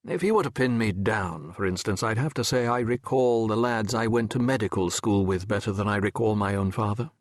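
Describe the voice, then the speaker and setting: A man narrating an audiobook as a wry, reflective French medical professor telling his memoir in a measured, erudite, wistful way. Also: lowish voice, no room sound, studio quality, natural voice. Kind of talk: scripted first-person literary narration.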